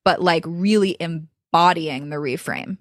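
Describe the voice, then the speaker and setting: Woman voice coach, lower voice, dead dry sound, easy reflective flow. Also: measured pace, dry recording. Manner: thoughtful, flowing, many "like" and "you know" fillers. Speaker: voice coach, guest. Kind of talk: reflective interview talk.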